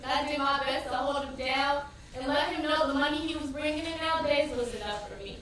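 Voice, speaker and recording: A woman's voice: high voice, fairly bright tone. An actress playing a grieving, fiercely loyal woman in an impassioned, anguished theatrical monologue. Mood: wistful, subdued. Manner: impassioned, anguished, drawn-out emphatic cries. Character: grieving, fiercely loyal woman mourning her slain man. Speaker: stage actress. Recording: distant, echoey stage recording with noise.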